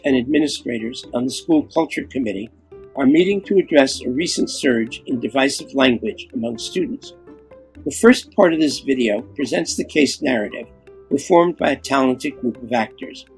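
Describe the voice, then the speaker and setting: An older man in his seventies hosts a podcast, reading a prepared introduction. He speaks at a middle pitch from a room at home, with guitar music behind him. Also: lively intonation, moderate pace, creaky, rough recording. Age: 70s. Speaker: podcast host. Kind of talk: scripted introduction, read out. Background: plucked guitar music.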